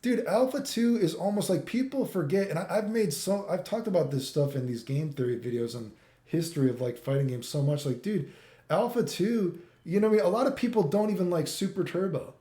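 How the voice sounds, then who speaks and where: A man's voice: sits high, fairly quick, dark tone. A middle-aged man from Canada giving an off-the-cuff, opinionated rant, exasperated and emphatic, with repetition, rhetorical questions and swearing. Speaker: gaming commentator. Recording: echoey, roomy sound.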